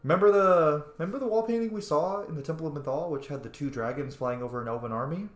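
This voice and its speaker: A man's voice: on the high side, fast, echoey room. A man giving casual, sweary running commentary as he streams a roleplay video game. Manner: informal, sweary.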